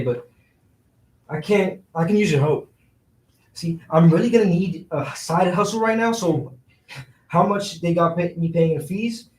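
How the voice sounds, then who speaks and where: A man's voice: on the high side, lightly acted. Man acting cheeky jobless guy, echoey and distant. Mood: joking, casual. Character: Frankie, cheeky, joking man out of work. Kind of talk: staged play reading. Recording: echoey room, far from mic.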